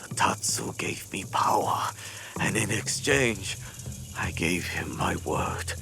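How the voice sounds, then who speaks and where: An actor playing a proud, weary, resigned rebel gang leader in a video game, with grave, formal delivery. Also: male voice, low voice, lively intonation, slow, creaky, noisy background, exaggerated voice. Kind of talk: cinematic video game acting. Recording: background music under the voice.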